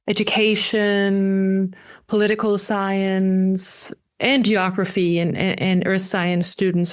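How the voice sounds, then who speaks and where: Casting: woman academic from Denmark, non-native English, mid-pitched, careful interview explainer with hesitant repeats. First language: not English. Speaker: guest, geography professor. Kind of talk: podcast interview, explaining own teaching.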